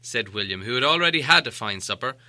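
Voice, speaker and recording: A man reading a storybook aloud as an animated audiobook narrator. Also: mid-range voice, fast talker.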